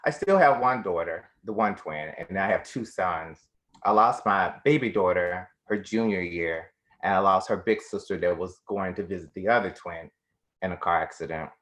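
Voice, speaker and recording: A man's voice: low-pitched, normal speed, fairly bright tone. A middle-aged man acting a monologue as a big-hearted, grieving garbage man, earnest and plain-spoken. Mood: sorrowful. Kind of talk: acted dramatic monologue.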